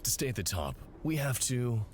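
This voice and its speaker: An actor playing a smooth, courteous but condescending company executive in an audio drama, with a suave, patronizing, unhurried manner. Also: male voice, medium pitch, sing-song, soft background music, lightly acted. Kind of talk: scripted audio drama acting. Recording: clearly noisy background ambience.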